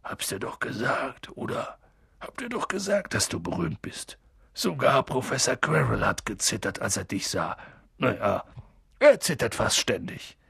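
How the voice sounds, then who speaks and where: Male reader as big kindly man, husky voice, hearty, colloquial clipped phrases, storybook character. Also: mid-range voice, sing-song, unhurried, fairly bright tone, no echo, studio quality, voice pushed down, exaggerated voice. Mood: smug, amused. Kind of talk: audiobook character voice.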